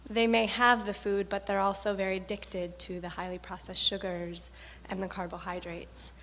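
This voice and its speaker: A woman's voice: mid-range voice, flat delivery, normal speed, a bit bright, slight background noise. A woman asks an earnest, thoughtful question from the audience. Her voice carries a long reverb in a large hall, with faint room ambience behind it. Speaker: audience member who works with a community.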